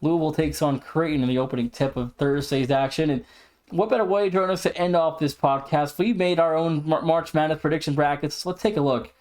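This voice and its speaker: A man's voice: on the high side, steady tone, fairly quick, a little mellow. A man co-hosting a sports podcast, commenting casually with an announcer-like, emphatic way of repeating himself. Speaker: podcast co-host.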